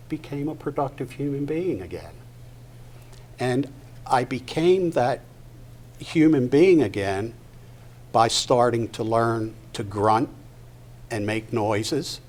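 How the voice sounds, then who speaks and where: An older man giving a personal testimony to an audience at a live event, halting and deliberate, pausing mid-sentence. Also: medium pitch, a little mellow. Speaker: guest speaker at a patient discussion event. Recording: noticeable background noise, live event.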